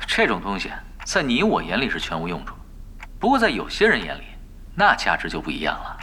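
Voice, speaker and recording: An actor in a Mandarin audio drama playing a cool, sly young expert gamer who bargains in a nonchalant, teasing way. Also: male voice, low-pitched, wildly swooping pitch, a little fast, bright, forceful tone, slight background noise, heavily acted.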